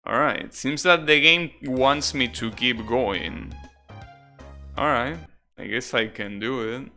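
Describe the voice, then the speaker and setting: A man's voice: medium pitch, takes it slowly, vocal fry, bright, forceful tone. A man giving casual live gameplay commentary as a streamer, often repeating words hesitantly and swearing offhandedly. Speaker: video game streamer. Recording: game music behind the voice. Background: video game music.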